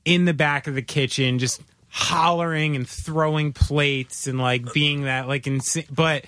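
A man's voice: on the high side, fairly even intonation, unhurried, fairly bright tone, no echo. A man hosting a casual chat, asking questions and joking with short interjections.

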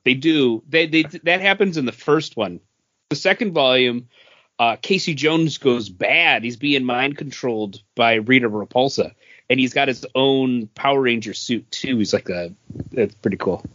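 A man's voice: mid-range voice, moderate pace, fairly bright tone, no room sound, muffled recording. A man co-hosting a podcast in casual, enthusiastic and informal geek chat. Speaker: podcast co-host. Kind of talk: casual pop-culture chat.

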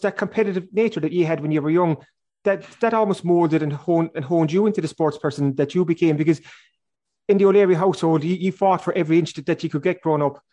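A man's voice: sits high, dark tone, dull audio. A man with an Irish accent hosting a sports interview, repeating phrases as he builds long, conversational questions. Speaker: sports podcast host.

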